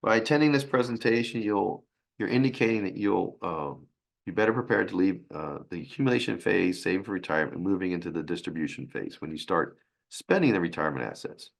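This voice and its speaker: A man with a raspy, hoarse voice from a sinus infection, presenting a financial webinar in a halting way. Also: sits low. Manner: halting, with restarts and fillers.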